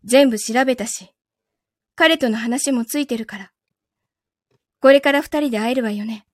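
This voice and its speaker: A woman playing a possessive, coolly menacing wronged girlfriend in an audio drama, questioning calmly with soft taunts. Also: fairly high pitch, moderate pace, dry recording, studio quality, natural voice. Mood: sweetly menacing. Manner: calm interrogating, softly taunting, sometimes whispering. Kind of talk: Japanese audio drama acting.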